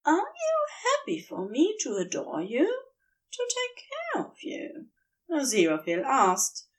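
Slow, lilting woman reading a story. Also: mid-range voice. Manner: unhurried, expressive storytelling. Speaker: podfic reader. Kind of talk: reading fan fiction aloud.

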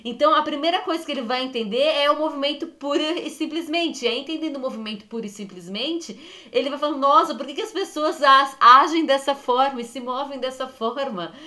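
A woman's voice: high voice, a little fast, pushed and bright. A woman co-hosting a dance podcast, thinking aloud at length, often breaking off and correcting herself as she reasons. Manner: rambling, self-correcting, reflective. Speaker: podcast co-host.

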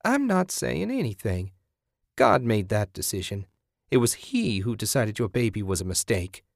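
A man voicing a menacing, sanctimonious, depraved woman in an audiobook, with a raspy, taunting delivery. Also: fairly low pitch, big pitch swings, no echo, clean studio sound, heavily acted. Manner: taunting, sinister, drawn-out threats. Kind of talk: dramatic audiobook character voice.

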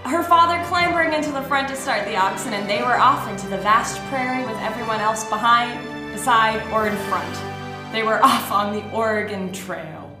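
A woman's voice: on the high side, moderate pace. A woman co-hosting a podcast with playful, theatrical storytelling and casual banter. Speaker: podcast co-host. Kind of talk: comic dramatic reading and casual podcast banter. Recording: echoey room with music behind.

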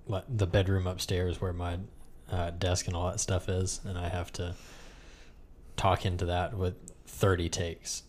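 Man, podcast host, very low voice, dry sarcastic banter, faint room hum behind. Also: flat delivery, a little slow, darkish tone. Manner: sarcastic, joking. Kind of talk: casual podcast chat. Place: room with another person.

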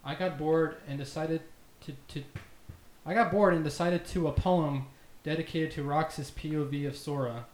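A man's voice: on the high side, fairly even intonation, moderate pace. A man hosting a casual podcast, jokingly reading fan fiction aloud. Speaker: podcast host. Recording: echoey room with noticeable background noise.

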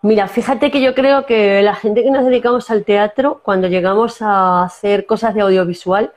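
A woman's voice: medium pitch, darkish tone. A woman from La Mancha with a Spanish accent from that region, an actress telling stories in a casual interview, often restarting and using fillers. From Castilla-La Mancha, Spain. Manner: storytelling, many restarts and fillers. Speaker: actress guest.

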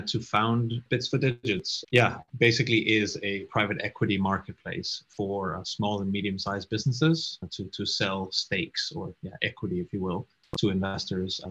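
A young man from Denmark, a startup co-founder talking casually in an interview, quick and stumbling over repeated words. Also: low-pitched, fairly even intonation, bright, forceful tone, slightly rough. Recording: dull lo-fi audio.

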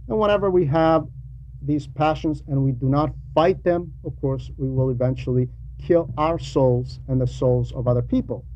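A man giving a sermon in a halting, explanatory and admonishing way, with conversational asides. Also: fairly high pitch, mellow. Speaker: preacher reading a translated homily. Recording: dull lo-fi recording with faint noise.

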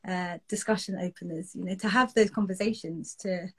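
A woman in her thirties, born in the UK, co-hosting a casual podcast chat, talkative and expressive with many fillers. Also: lowish voice, brisk, breathy edge. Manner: chatty, expressive, lots of fillers. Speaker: podcast co-host, psychotherapist.

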